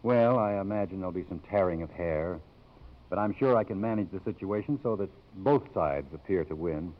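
Middle-aged male college president, played by an actor, tender, sincere, low voice, fifties radio romance, lo-fi recording. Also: a little fast, close to an everyday voice. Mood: subdued. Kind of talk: 1950s radio drama acting. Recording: muffled old radio recording, background music.

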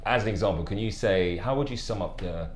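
A man hosting a casual podcast interview, encouraging his guest with frequent quick affirmations. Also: fairly low pitch, soft background music. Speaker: podcast host.

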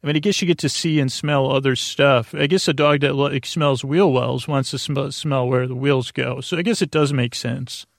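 A man hosting a bedtime podcast in a casual, rambling monologue, halting and drifting off into tangents, with a creaky voice. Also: medium pitch, fairly even intonation, no echo, studio quality. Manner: meandering and halting, trails off into tangents. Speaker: podcast host.

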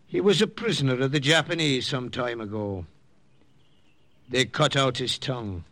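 An actor playing a kindly, paternal missionary priest, halting and avuncular, in old radio drama style. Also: male voice, mid-range voice, fairly bright tone, no echo, natural voice. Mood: matter-of-fact. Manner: halting, avuncular, with little hesitations. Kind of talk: 1940s-50s radio drama acting.

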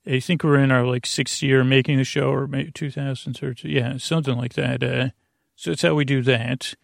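A man hosting a bedtime podcast in a creaky, lulling voice, meandering and halting through tangents and self-interruptions. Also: medium pitch, fairly even intonation, no room sound, studio quality. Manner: soothing, lulling. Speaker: podcast host. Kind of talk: rambling bedtime monologue to help listeners sleep.

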